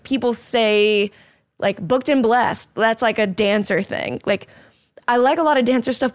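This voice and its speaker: A woman's voice: a little high, no echo. A young woman chatting casually on a podcast, rambling with lots of "like" and frequent laughter. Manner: rambling, heavy use of "like", laughs often. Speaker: podcast host, dancer. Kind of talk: casual chat.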